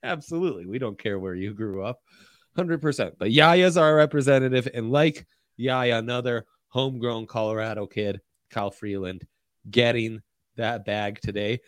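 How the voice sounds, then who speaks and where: A man's voice: medium pitch, moderate pace, dry recording, clean studio sound. A man from Italy hosting a casual sports betting chat, joking and sarcastic as he talks. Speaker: podcast host.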